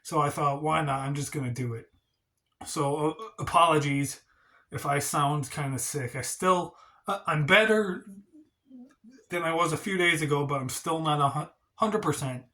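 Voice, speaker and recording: A man's voice: on the high side, moderate pace, bright, forceful tone. A man hosting a casual wrestling review vlog, talking in a rambling, hedging way, congested and stuffy from a cold. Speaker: wrestling channel host.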